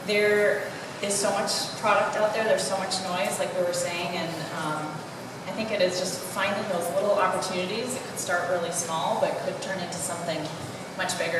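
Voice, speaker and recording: A woman design consultant speaking in a measured, thoughtful way. She is heard from a distance, with long reverb in a noisy hall. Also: lowish voice, fairly even intonation. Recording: echoey hall from far off, noisy.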